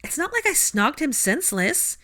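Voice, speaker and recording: A woman voicing a flustered, defensive teenage witch with a high, breathless delivery. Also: very animated, measured pace, a bit bright.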